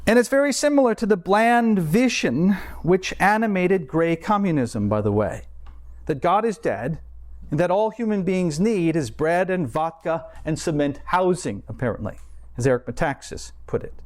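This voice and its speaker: High-pitched, animated man preaching. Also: normal speed. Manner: erudite, formal wording with wry asides. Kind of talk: scripted sermon, read like an essay.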